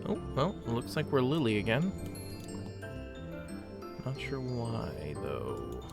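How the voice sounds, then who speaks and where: A man's voice: medium pitch, huge pitch swings, takes it slowly, creaky, music in the background. A man casually commentating a video game as he plays, thinking aloud and restarting his sentences. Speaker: gameplay commentator. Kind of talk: casual let's play commentary.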